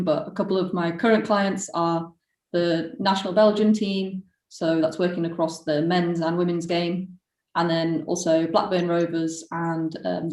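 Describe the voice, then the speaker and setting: Woman in a roomy room, far from the mic. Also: fairly low pitch. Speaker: data analytics consultant. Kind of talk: panel self-introduction. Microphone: video call.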